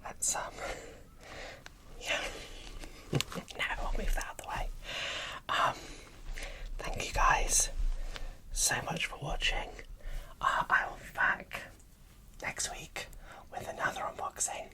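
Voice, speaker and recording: A host speaking in a breathy whisper into a close microphone, giving casual, enthusiastic ASMR unboxing commentary. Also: slow, long pauses, pushed and bright.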